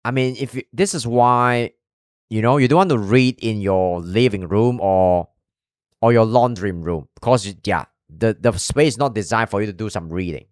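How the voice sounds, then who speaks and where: A man's voice: on the low side, moderate pace, dry recording, clean studio sound. A man with a Chinese accent, chatting casually as a streamer while reacting to a video. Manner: chatty, loose, thinks out loud.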